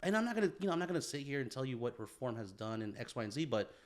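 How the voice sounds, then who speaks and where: A man's voice: medium pitch, big pitch swings, very fast. Casual male podcast co-host. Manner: thoughtful, frequent "yeah" and "you know" fillers. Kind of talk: casual chat.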